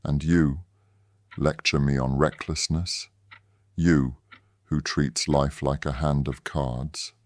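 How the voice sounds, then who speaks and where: An actor playing a proud, caustic professor in an audio drama, speaking formally with cold sarcasm. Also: male voice, rumbling low, little pitch movement, slow pace, no room sound, clean studio sound. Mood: cold disdain. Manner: formal, disdainful, clipped sarcasm. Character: proud, caustic wounded male professor and resistance fighter.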